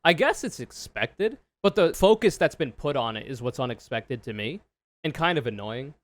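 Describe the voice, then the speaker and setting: Low-voiced man giving blunt reaction commentary. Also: sing-song, moderate pace, no room sound. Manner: blunt, opinionated, swears freely; explains in loose run-on sentences with "like" and "right?". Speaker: streamer reacting to a video.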